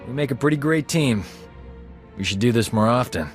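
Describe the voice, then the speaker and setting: A man's voice: medium pitch, animated, normal speed, close to an everyday voice. An actor playing a wry, easygoing male companion in a game cutscene. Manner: wry, joking. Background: game music.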